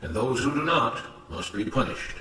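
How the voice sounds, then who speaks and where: A man's voice: mid-range voice, lively intonation, normal speed, sharp tone, pitched above the performer's own voice. Self-righteous plantation master, acted by a male narrator: oratorical, lecturing, authoritarian, recorded at a distance with faint noise. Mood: stern, righteous. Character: self-righteous, authoritarian plantation boss, man preaching order. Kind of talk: dramatized story reading. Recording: roomy, noisy, distant microphone.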